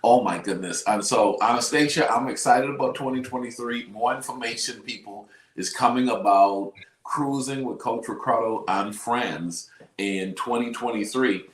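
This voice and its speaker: Excitable Bahamian man hosting, echoey. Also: mid-range voice, normal speed. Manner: upbeat, excitable, repeats phrases. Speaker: host. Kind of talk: casual talk show chat.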